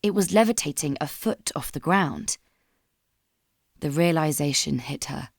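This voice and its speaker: A woman narrating scripted dramatic fan fiction as a storyteller, in a measured, solemn manner. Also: deep voice, creaky, breathy edge, no room sound, clean studio sound.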